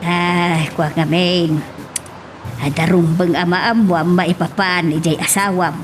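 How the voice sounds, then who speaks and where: A woman's voice: fairly low pitch, steady tone, slow, slight background noise. A woman playing a fond elderly village mother-in-law with an Ilocano Filipino accent, hesitant, in an amateur audio drama. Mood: concerned. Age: older.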